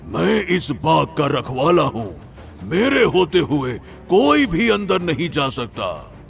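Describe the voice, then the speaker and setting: Demon gatekeeper: gruff human-hater for a cartoon, creaky growl, unhurried menace. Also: male voice, a little high, big pitch swings, faint music behind, heavily acted. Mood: menacing. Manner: menacing, declamatory. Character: gruff, human-hating demon guardian of a magic garden.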